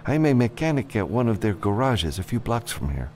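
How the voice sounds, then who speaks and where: A man's voice: mid-range voice, big pitch swings, brisk, darkish tone, a little hiss or hum, voice pushed up, natural voice. Guy doing a timid runaway mechanic, meek and grateful, voice lifted way up, audiobook character. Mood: meek, anxious.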